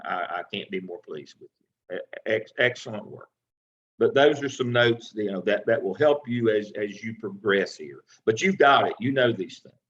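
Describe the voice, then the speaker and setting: A man's voice: lowish voice, fairly bright tone, muffled recording. A man teaching as a professor, giving feedback to a student in an online class in a folksy way, often restarting and repeating words. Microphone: video call.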